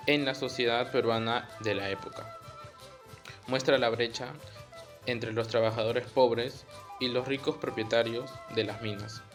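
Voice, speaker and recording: A man reading scripted educational narration in measured short phrases. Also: mid-range voice.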